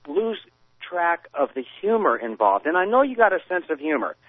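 Man caller, rambling, stammers, lively rising pitch, tinny phone line. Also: brisk, no echo. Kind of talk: phone-in conversation.

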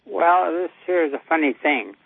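A man's voice: fairly high pitch, normal speed, creaky, husky, no echo. A ninety-year-old American man calling in to a show, chatting in a plain-spoken, folksy way. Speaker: caller. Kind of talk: casual phone-in chat. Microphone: telephone. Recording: dull lo-fi call audio.

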